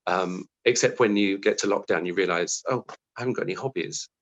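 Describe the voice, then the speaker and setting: A British man with a fairly low voice speaks as an interview guest. He is reflective and conversational, slipping into animated quotes of his own thoughts as he explains things. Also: brisk, lo-fi sound. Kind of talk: conversational interview answer, recounting own career.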